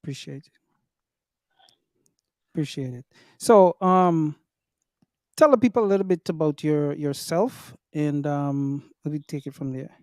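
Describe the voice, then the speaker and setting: A man hosting an online community meeting, talking slowly with many pauses and ums. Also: on the high side, a little mellow, dry recording, clean studio sound. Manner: slow, hesitant, many ums. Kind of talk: casual online chat.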